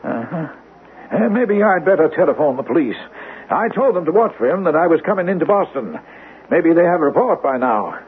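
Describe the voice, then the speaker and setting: Radio drama doctor: high voice, courteous, calmly concerned, hesitant, faint broadcast noise. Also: male voice, fairly quick. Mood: reassuring, concerned. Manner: polite, hesitant. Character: courteous, reassuring male doctor, calm and concerned. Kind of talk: old-fashioned radio drama acting. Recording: tinny, noisy old radio broadcast.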